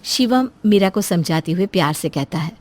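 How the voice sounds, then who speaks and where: A woman's voice: fairly low pitch, animated, moderate pace, darkish tone. A woman narrating a scripted family moral story as its storyteller.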